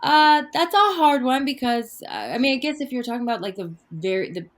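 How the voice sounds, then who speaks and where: A woman's voice: on the high side, sing-song, fairly quick. A middle-aged woman guest born in Afghanistan and raised in Canada, speaking thoughtfully in a conversational interview with many fillers and restarts. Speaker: guest, activist and author.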